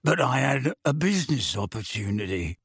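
A shady, mournful petty crook from an audiobook, acted by a male reader. He mutters and pleads in a husky, dry-recorded voice, always wheedling. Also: fairly high pitch, big pitch swings, takes it slowly, no room sound, heavily acted. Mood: wheedling, shifty.

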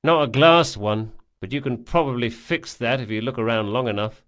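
A man's voice: mid-range voice, normal speed, fairly bright tone, lightly acted. A male narrator voicing a bitter, sulking, sardonic young rogue in an audiobook story. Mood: bitter sarcasm. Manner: sneering, anguished, outbursts.

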